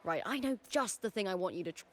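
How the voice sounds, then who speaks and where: A woman's voice: medium pitch, quick pace, lightly acted. Game role: young female gladiator star, played by a woman, fiery and upbeat, breezy casual delivery, mid-range voice. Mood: excited, eager. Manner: animated, breezy, casually chatty. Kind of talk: video game character acting.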